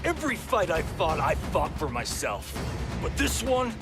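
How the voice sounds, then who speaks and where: An actor voicing a brash, defiant action hero in a video game, speaking emphatically. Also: male voice, a little high, very animated, measured pace. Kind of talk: dramatic video game acting. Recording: loud music behind.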